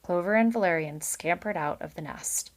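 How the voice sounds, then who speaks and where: Low-voiced woman reading a storybook aloud. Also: moderate pace. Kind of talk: expressive children's book narration with casual commentary.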